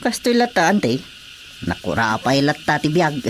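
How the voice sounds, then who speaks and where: A woman's voice: sits low, big pitch swings, normal speed, a little hiss or hum. A woman playing a teasing, chatty countrywoman in an Ilocano radio comedy drama, speaking with an Ilocano accent. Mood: friendly.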